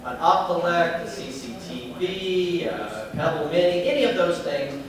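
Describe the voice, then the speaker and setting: A man's voice: high-pitched, sing-song, a little slow. A man with a Southern US accent gives a chatty talk to a meeting, often putting questions to the audience. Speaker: advocacy group speaker. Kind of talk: informal speech to a meeting. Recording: echoey room, distant mic, crowd.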